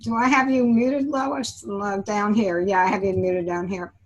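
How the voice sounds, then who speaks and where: A woman's voice: mid-range voice, steady tone, measured pace, poor audio. An older woman hosting a video meeting, friendly as she manages the call and its participants. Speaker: host.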